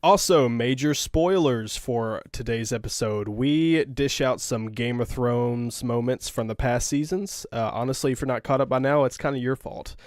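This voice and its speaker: Casting: male podcast co-host, soft-spoken, mid-pitched, dry close mic, easygoing. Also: normal speed, few pauses, no echo. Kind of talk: casual podcast chat.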